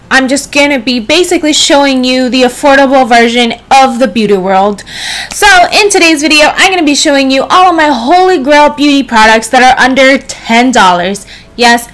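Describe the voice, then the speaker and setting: A young woman in her twenties with a slightly raspy voice, chatting casually to camera in a bedroom as a beauty vlogger, just up from a nap. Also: sits high. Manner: chatty, expressive hand gestures, playful asides. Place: bedroom, indoors. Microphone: camera in front at desk distance.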